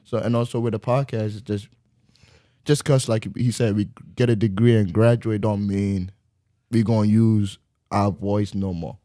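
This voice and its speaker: A man in his twenties co-hosting a podcast, talking quickly in halting bursts and repeating his words. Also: on the low side, steady tone, mellow, no room sound, clean studio sound. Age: 20s. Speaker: podcast co-host. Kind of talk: casual podcast chat.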